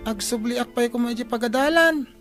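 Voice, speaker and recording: A woman's voice: fairly high pitch, normal speed, music in the background, natural voice. A woman playing a hungry, complaining school-age child in an Ilocano comedy radio drama.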